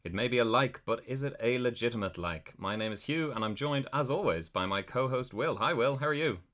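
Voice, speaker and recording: A man's voice: medium pitch, lively intonation, brisk, fairly bright tone. A man hosting a podcast, chatting in a playful, teasing way. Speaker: podcast host. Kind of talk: podcast chat.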